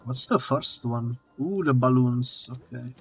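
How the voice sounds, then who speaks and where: A man who is not a native English speaker, playing a game together with a streamer and joking in relaxed, plain speech. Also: mid-range voice, a little slow, soft background music. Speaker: co-op guest player. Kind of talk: casual gaming chat.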